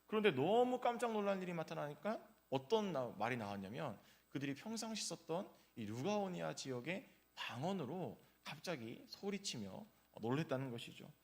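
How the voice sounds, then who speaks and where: A man's voice: a little high, very animated, moderate pace, hardly any pauses. Man preaching an anecdotal morning sermon. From South Korea, grew up in Gyeongsang region. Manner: conversational storytelling with frequent "uh" fillers. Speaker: pastor. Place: church.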